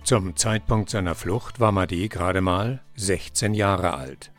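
A man narrates a podcast from a script in a measured, deliberate way. His voice sits fairly low. Guitar music plays clearly behind him.

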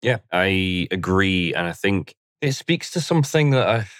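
A man hosts a podcast interview, framing his questions in a measured, thoughtful way. His voice sits fairly low. The sound is dry and close, with no room around it. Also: animated, fairly bright tone, no room sound. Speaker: podcast host.

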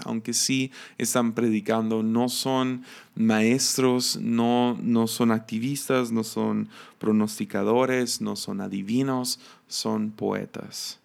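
A man's voice: medium pitch, gravelly. A man giving an informal Bible lesson, hesitant, with many filler sounds and restarts. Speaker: Bible teacher.

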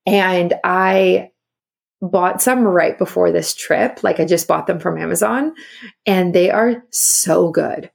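Young female podcaster, upbeat rambling chat, heavy vocal fry. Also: fairly low pitch, slow. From South Africa. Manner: chatty, upbeat, heavy use of "like". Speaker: podcast host. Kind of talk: casual solo podcast chat.

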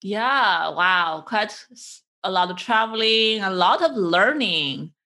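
A woman's voice: medium pitch, expressive, bright, forceful tone. A woman hosting a podcast, chatty and enthusiastic as she asks questions. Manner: enthusiastic, chatty, quick laughs. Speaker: podcast host. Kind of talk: podcast interview.

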